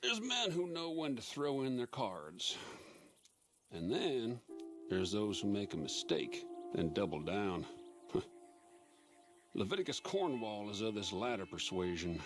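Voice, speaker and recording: An actor playing a shrewd, coolly menacing Old West operator in a video game, speaking in measured, sententious phrases. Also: male voice, lowish voice, sing-song, music in the background. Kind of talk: video game cutscene acting.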